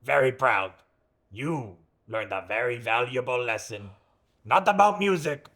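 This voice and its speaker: A man's voice: medium pitch, expressive, unhurried, fairly bright tone. A man playing a pompous, proud conductor-professor in a comedy sketch, self-important and emphatic. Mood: pompous pride.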